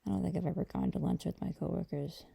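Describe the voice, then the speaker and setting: A woman playing a gentle, affectionate girlfriend in an intimate ASMR roleplay, talking softly and hesitantly to a partner. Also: full character voice. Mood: relaxed, affectionate. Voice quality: dark, creaky low voice. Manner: soft, hesitant, unhurried conversational delivery.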